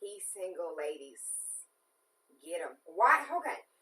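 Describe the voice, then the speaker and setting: A woman hosting a casual talk show, teasing her guest in an animated way. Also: lowish voice, slow. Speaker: host. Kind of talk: casual chat. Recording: roomy, mic set far off.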